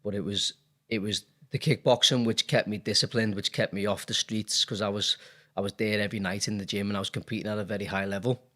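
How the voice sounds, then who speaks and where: A man's voice: fairly low pitch, steady tone, quick pace, sharp tone. A man in his thirties with a Liverpool accent, a dentist talking candidly and rambling a little in a casual interview. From Liverpool, England. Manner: candid, rambling, many fillers. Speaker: dentist and business owner.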